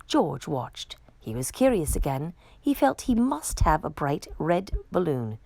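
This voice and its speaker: A woman reads a picture book to children as an expressive storyteller. Her voice sits a little low with a creaky edge and moves in big, lively swings of pitch. The sound is dry and close. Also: measured pace, no room sound. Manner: expressive, dramatic storytelling with acted exclamations.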